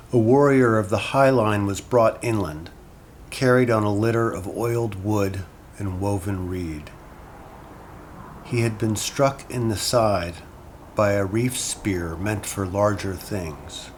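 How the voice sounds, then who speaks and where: An actor playing a classics lecturer in an audio drama, talking and reading in a measured, unshowy way. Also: male voice, fairly low pitch, a little hiss or hum. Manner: casual and halting when talking, solemn when reading. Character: hesitant male classics lecturer reading an ancient epic aloud. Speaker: university lecturer.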